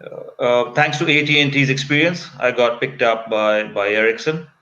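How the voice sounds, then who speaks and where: A man in his fifties from Kuwait talks as an interview guest in a bright, forceful voice. He recounts his experiences conversationally. The sound is a slightly dull video call. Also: medium pitch, moderate pace. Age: 50s. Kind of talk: conversational personal storytelling.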